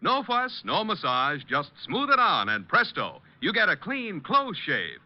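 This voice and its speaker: High, lively old radio ad man. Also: unhurried. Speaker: commercial pitchman. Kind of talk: scripted 1940s radio advertising. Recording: muffled old radio broadcast recording.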